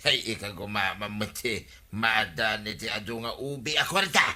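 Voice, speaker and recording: An actor playing a nosy, scheming village husband in a radio comedy drama. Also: male voice, on the low side, moderate pace, a crowd in the background, close to an everyday voice. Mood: amazed.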